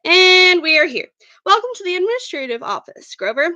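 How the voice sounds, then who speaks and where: A woman's voice: very high voice, big pitch swings, brisk, bright, forceful tone, no room sound, dull audio, voice pushed up, heavily acted. A woman voicing a friendly, eager, nosy teenage girl in a chatty, polite manner for an amateur story reading. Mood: cheerful.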